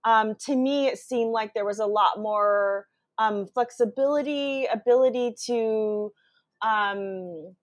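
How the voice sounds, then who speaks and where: A woman raised in the US and born in Brazil, a guest answering interview questions thoughtfully, with frequent pauses and restarts. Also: fairly high pitch, no echo, clean studio sound.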